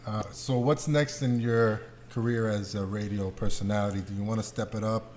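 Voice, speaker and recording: A man's voice: fairly low pitch, fairly even intonation, moderate pace, a little mellow, a little hiss or hum. A man hosting a podcast in a casual, slangy, profane way, chatting and interviewing. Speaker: podcast host.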